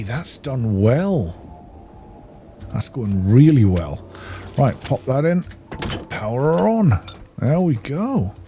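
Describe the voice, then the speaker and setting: A man's voice: lowish voice, dark tone. A man giving casual, understated gameplay commentary, half talking to himself as he plays. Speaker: gaming video host. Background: game music.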